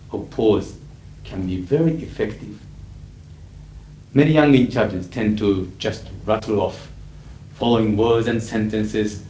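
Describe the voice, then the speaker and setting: A middle-aged man with a Japanese accent, a native Japanese speaker, lecturing as an interpreter in a measured, emphatic way with frequent fillers. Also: lowish voice, a little mellow. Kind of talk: lecture to an audience. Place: lecture hall. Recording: echoey 1980s hall recording with faint hiss.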